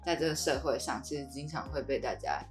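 A woman's voice: extremely low pitch, lively intonation, brisk, soft background music. A woman with a Taiwanese Mandarin accent hosting a podcast in a casual, conversational way. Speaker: podcast host.

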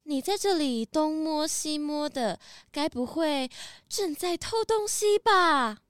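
A woman playing a snobbish, accusing rich lady snake in a children's audio drama, with haughty, drawn-out emphasis. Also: sits high, lively intonation, no echo, studio quality.

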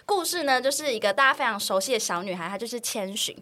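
A woman's voice: fairly high pitch, expressive, fast, bright, forceful tone. A woman with a Taiwanese accent hosting a podcast, telling a story in a casual, playful, comedic way. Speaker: podcast host.

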